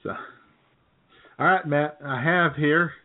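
A man co-hosting a radio comedy show in casual banter, jokey and a little halting, often repeating his phrases. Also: a little high. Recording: muffled phone-like internet radio audio.

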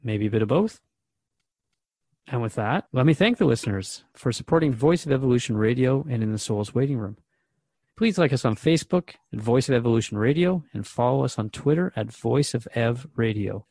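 A man's voice: medium pitch, lively intonation, a little fast, creaky, dark tone, no echo. A man playing an earnest radio host in a radio drama, talking in a thoughtful, hesitant, conversational way. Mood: grateful, signing off. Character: Jeff, earnest radio host questioning a spirit.

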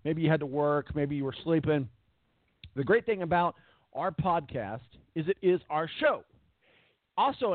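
A man hosting a sports radio show in casual chat, rambling with fillers and repeated words, with a voice that is going hoarse. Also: a little high, dry recording. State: losing his voice. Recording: tinny phone-line quality, dry.

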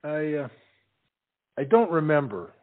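A man acting a dim, nervous young suitor in a stage comedy, heard through tinny, narrow sound. He stammers and dodges in a fairly high voice that swings up and down. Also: sing-song, a little slow. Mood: flustered, pleading. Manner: hesitant, stammering, evasive. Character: tongue-tied, dim, anxious young suitor soldier.

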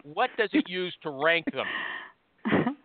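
A man's voice: a little high, very animated, slow, no echo. A man hosting a casual interview show, pushy and teasing, cutting in on his guest. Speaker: show host. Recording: tinny phone-line sound.

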